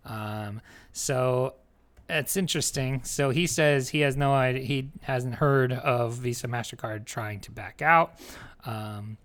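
Podcast guy, bright ringing voice, lots of ums and laughs, chatty news reading. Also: mid-range voice, takes it slowly. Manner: laughs often, reads news aloud with many fillers. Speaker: podcast co-host. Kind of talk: casual chat.

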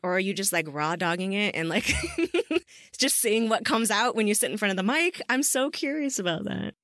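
A woman's voice: mid-range voice, expressive, normal speed, fairly bright tone, no echo, studio quality. A woman hosting a podcast, interviewing a guest in a casual, chatty and effusive way. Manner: enthusiastic, effusive.

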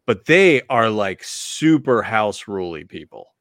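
Low-voiced man rambling casually. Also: very animated, slow, a bit bright, dry recording, studio quality. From Virginia. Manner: rambling, filler-heavy, laughs often. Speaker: podcast co-host. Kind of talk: casual chat.

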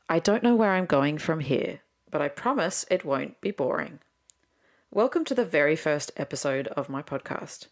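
A young adult woman with an Australian accent, hosting a podcast in an informal, candid personal narration. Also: low-pitched. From Sydney, Australia. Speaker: podcast host.

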